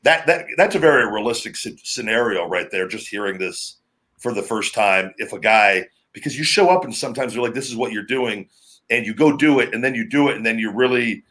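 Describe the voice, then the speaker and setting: A man with a deep, heavy, booming voice, hosting a podcast in a loose, rambling, talkative way. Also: lively intonation, brisk, a bit bright. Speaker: podcast host, former pro wrestler. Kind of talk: casual podcast chat.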